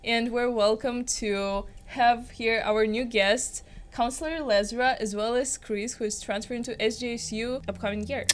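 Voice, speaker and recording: A woman's voice: fairly high pitch, steady tone, normal speed, few pauses, a little hiss or hum. A woman hosting a podcast, presenting a segment introduction. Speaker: podcast host.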